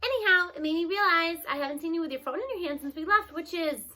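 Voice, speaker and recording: A woman's voice: very high-pitched, quick pace, sharp tone, pitched above the performer's own voice. A woman voicing a spunky, sly old grandmother in a storybook, talking in folksy old-fashioned phrases.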